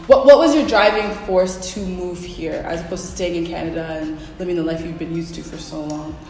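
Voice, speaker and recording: A woman hosting a casual, upbeat vlog interview, quick to encourage and agree with her guest. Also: on the low side, darkish tone. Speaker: host and interviewer. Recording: echoey hall, distant mic, music.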